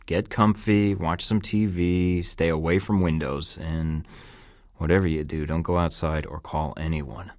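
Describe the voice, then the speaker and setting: An actor playing a cocky, quick-witted young male spy with a dry, quippy delivery in a video game. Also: deep voice, no echo, close to an everyday voice. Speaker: secret agent.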